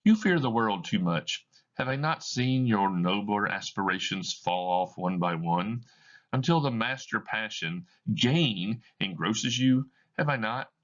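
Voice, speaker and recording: A man's voice: fairly low pitch, expressive, a little slow, a bit bright, muffled recording, pitched above the performer's own voice. A man voicing a grieving young woman who speaks to her former love with bitterness and reproach. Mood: reproachful.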